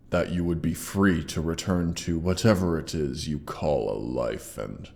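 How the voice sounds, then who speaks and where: A man voicing a proud, mighty dragon with a gravelly, pompous, condescending delivery and an effect on the voice, in a scripted roleplay audio. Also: a bass voice, darkish tone, exaggerated voice. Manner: pompous, condescending, deliberate theatrical phrasing. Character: proud, mighty male dragon, haughty yet grudgingly grateful. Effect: sound effects processing on the voice.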